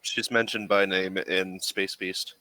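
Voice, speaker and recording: A man's voice: low voice, flat delivery, slow, sharp tone, dry recording. A man acting as game master, narrating a tabletop role-playing game in a casual way.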